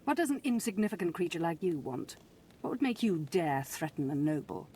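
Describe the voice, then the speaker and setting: A woman's voice: fairly low pitch, very animated, fairly quick, dark tone, slight background noise. An actress playing a haughty, scheming noblewoman in a video game, condescending and silky in her manner. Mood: disdainful.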